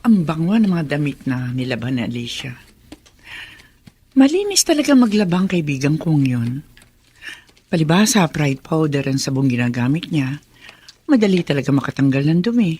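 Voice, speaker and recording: A husky-voiced, earnest mother. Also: deep voice, sing-song, moderate pace, pauses often, warm tone, heavily acted. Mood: pleased. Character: concerned, earnest mother pleading for help with her daughter. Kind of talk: Filipino radio drama acting.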